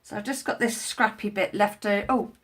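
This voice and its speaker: A woman's voice: medium pitch, moderate pace, fairly bright tone. A woman with a head cold, chatting in a rambling way on a live stream from home while crafting, recorded on a tablet. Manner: rambling, chatty, many fillers and restarts. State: congested with a head cold, coughing. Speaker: craft vlogger. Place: at home indoors.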